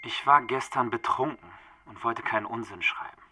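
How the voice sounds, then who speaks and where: An actor playing a bookish, gently joking young man in a radio play, speaking in a laconic, understated way. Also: medium pitch, normal speed, a little hiss or hum. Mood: matter-of-fact.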